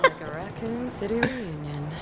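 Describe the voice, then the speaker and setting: A woman's voice: medium pitch, expressive, normal speed, very noisy, close to an everyday voice. An actress playing a wry, self-assured female secret agent in a video game, making dry, sardonic remarks. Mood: teasing. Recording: game audio under loud music, engines.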